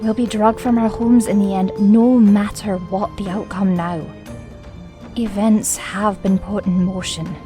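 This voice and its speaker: A woman's voice: medium pitch, fairly even intonation, unhurried, lightly acted. Woman playing a grave, defiant heroine, music behind. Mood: resigned urgency. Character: troubled, defiant fantasy woman ally. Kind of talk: dramatized fantasy audiobook.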